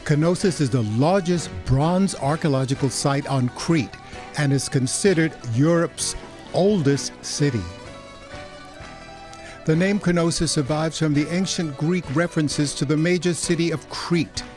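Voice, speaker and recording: A man narrating a travel program in a measured, informative voice-over. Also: on the high side, darkish tone. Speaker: travel show host. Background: music.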